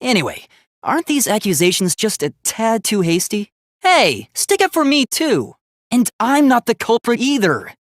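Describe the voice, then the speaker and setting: A man's voice: sits high, huge pitch swings, moderate pace, no room sound, clean studio sound. A man voicing an earnest, logical young robot student in a video game, speaking precisely and formally. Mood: indignant, flustered. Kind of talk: English video game voice acting.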